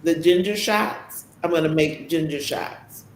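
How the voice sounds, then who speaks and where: A man's voice: fairly high pitch, a little slow. A man chatting casually as a podcast co-host. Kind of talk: casual conversation. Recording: echoey room with faint background noise.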